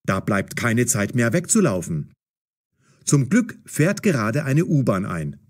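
Expressive, slightly husky male story narrator. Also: medium pitch, a little slow. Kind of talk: scripted narration of a language-learner story.

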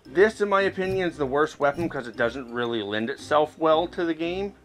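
Higher-voiced man giving casual gameplay commentary. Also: lively intonation, brisk. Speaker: gaming video host. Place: indoors, recording while playing VR game. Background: game music.

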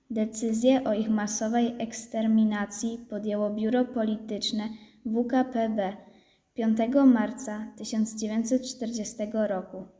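Solemn, even-toned woman narrator, echoey. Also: on the high side. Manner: formal, solemn recitation. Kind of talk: scripted narration of history. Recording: echoey room.

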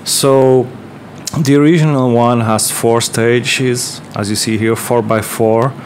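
A man's voice: medium pitch, fairly even intonation, slow, a little hiss or hum. A man with a Portuguese accent, casually explaining his workbench build, rambling with many fillers and restarts. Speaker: electronics maker. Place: workbench, indoors.

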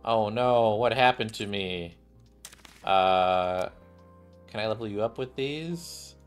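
A man's voice: lowish voice, slow pace, clean tone. A man in his thirties casually reading visual novel dialogue aloud as he streams. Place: indoor room at a gaming setup. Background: game music, keyboard clicks.

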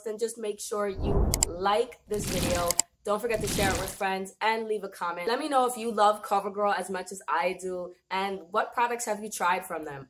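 Slightly raspy young woman vlogging. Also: medium pitch. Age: young adult. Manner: chatty, informal. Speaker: beauty vlogger. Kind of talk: casual product review to camera. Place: small indoor room, plain backdrop.

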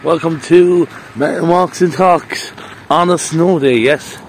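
A man's voice: a little high, takes it slowly, a little hiss or hum. A man, chatting casually and rambling as he walks a snowy park path, talking into a phone held at arm's length. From Ireland. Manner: chatty and rambling, playful sing-song bursts. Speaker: vlogger. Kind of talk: casual walking vlog. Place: outdoor park path in falling snow. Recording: faint outdoor ambience.

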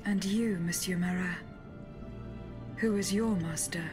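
Woman acting a low-voiced, poised female automaton. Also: steady tone, unhurried, close to an everyday voice. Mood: composed, challenging. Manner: formal, composed. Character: poised, deadly female automaton bodyguard, courteous and unflinching. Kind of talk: video game cutscene acting. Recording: game music behind the voice.

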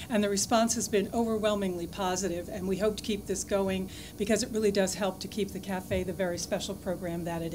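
A woman hosting an event, giving measured, gracious closing thanks to students and donors in a large lecture hall. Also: mid-range voice. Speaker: event host. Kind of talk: formal closing remarks. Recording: noisy hall recording.

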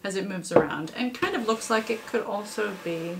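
A woman in her thirties presenting a demonstration with a co-host, with deliberate, measured phrasing and slightly theatrical touches. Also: medium pitch, vocal fry, heavy background noise. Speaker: puppetry presenter. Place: home kitchen.

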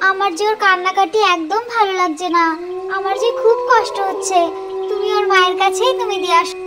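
Squeaky, wheedling little ghost girl. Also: steady tone, a little fast, very noisy. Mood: troubled, pleading. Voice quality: squeaky, childlike. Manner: wheedling, whiny. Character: small ghost girl, pleading, childish, kind-hearted. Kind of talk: children's cartoon voice acting.

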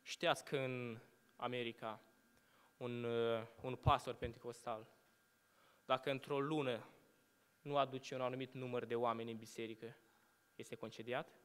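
A young man preaching a sermon from a lectern, asking rhetorical questions and letting his phrases fall to hushed endings. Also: medium pitch, steady tone, long pauses, smooth. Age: young adult. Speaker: student preacher. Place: church hall at a lectern. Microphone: lectern microphone. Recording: long hall reverb.

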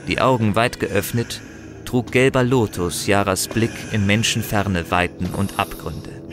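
Narrator for fantasy audio drama: man, lowish voice, grave and dramatic storytelling, clear music bed underneath. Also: a little slow. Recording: music behind the voice.

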